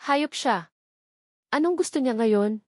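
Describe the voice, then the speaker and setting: A woman's voice: high-pitched, lively intonation, slow, no echo, studio quality, pitched above the performer's own voice, heavily acted. A woman voicing a protective young man and father figure in an audio drama, speaking in a tense, heated way. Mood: angry.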